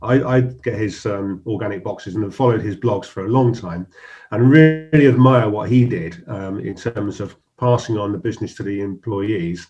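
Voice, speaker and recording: A middle-aged man hosts a business interview. He talks in a friendly, unhurried way, often stopping and restarting with ums and uhs. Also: on the low side, lo-fi sound. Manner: warm, hesitant, many restarts and fillers. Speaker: host.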